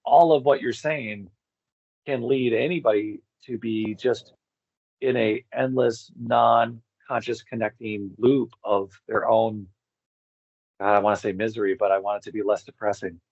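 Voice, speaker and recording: A man speaking as an interview guest, thinking aloud in a casual, reflective way and halting as he searches for words. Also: fairly low pitch, steady tone, a little mellow, dry recording. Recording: dull, slightly lo-fi, dry sound.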